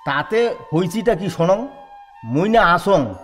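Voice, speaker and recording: A man's voice: high-pitched, expressive, measured pace, soft background music. A man playing a grumbling rustic villager in an audio drama, talking colloquially with a North Bengal Rajbanshi accent. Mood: curious, agreeable. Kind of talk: rural audio drama acting.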